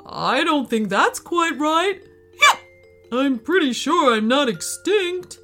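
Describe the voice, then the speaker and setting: A woman's voice: high voice, lively intonation, slow pace, bright, forceful tone, faint music behind, pitched above the performer's own voice, exaggerated voice. A woman voicing a polite, chatty, friendly dinosaur in a storybook, with a courteous and slightly bashful manner. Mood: matter-of-fact.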